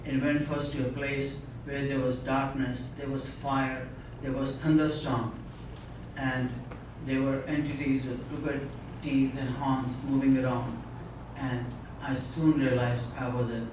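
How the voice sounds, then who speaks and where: A man's voice: medium pitch, flat delivery, measured pace, noisy background. A middle-aged man from India tells his personal story at a conference as an earnest storyteller who often says "you know.". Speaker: conference speaker. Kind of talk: personal testimony talk. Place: conference hall. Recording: echoey hall, speaker far from mic.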